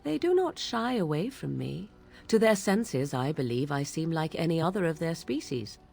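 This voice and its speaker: A woman's voice: low-pitched, big pitch swings, moderate pace, vocal fry, a little mellow, heavily acted. An actress playing an aloof, sardonic young witch from the wilds, speaking in a formal, archaic and haughty way in studio game dialogue. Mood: cool. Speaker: game companion character.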